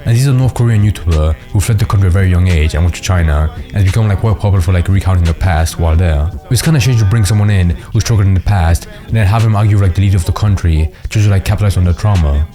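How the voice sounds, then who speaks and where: Low voice dude talking fast over music, says like a lot. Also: male voice. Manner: casual, filler "like" throughout. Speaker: commentary narrator. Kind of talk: casual commentary voice-over.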